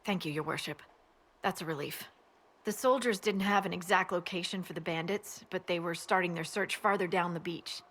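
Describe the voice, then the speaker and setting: A woman's voice: lowish voice, normal speed, fairly bright tone. An actress playing a dutiful female scout giving reports in a fantasy video game, plain and respectful. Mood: concerned, reporting. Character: female soldier scout, dutiful, briefing her superior. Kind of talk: video game character dialogue.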